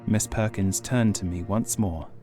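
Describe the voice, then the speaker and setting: A man reading scripted Gothic horror as an audiobook narrator, in a measured, formal, literary delivery. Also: on the low side, steady tone. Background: faint music.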